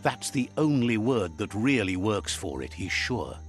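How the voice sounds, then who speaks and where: An actor playing a wry, fond storybook narrator in a game, with a measured storyteller's delivery. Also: male voice, lowish voice, very animated, soft background music. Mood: fond, reassuring. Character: male storybook narrator relaying creatures' words, wry and fond.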